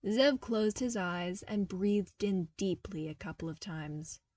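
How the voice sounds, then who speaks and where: A woman reading a story aloud as an audiobook narrator, in an expressive scripted style. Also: medium pitch, takes it slowly, no echo.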